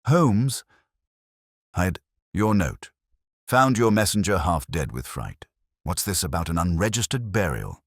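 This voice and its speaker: A man voicing a brisk, gruff male police inspector who asks blunt questions in a mystery story. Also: low voice, big pitch swings, dry recording, studio quality, pitched above the performer's own voice, heavily acted. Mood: brisk, puzzled.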